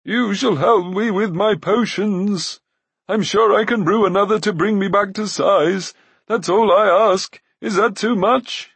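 A man's voice: high voice, unhurried, fairly bright tone, slightly rough, dry recording, pitched above the performer's own voice, heavily acted. A man voicing a cowardly, self-pitying little giant in a fantasy audiobook, pleading and wheedling plaintively.

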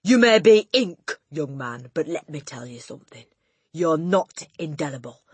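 A bellowing, tyrannical giant headmistress. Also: female voice, low-pitched, big pitch swings, husky, no room sound, full character voice. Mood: indignant, threatening. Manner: bellowing, snorting, barking insults in drawn-out emphatic bursts. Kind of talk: audiobook character voice.

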